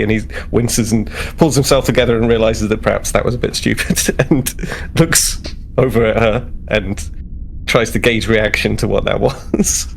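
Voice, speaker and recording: A man's voice: medium pitch, vocal fry. A man playing a tabletop role-playing game, casually improvising narration of what his character does. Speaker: tabletop role-play player.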